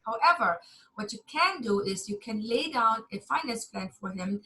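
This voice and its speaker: A woman's voice: fairly high pitch, sharp tone, slight background noise, rough recording. A German woman lecturing a university class over a video call, chatty and candid, with some self-deprecating humor.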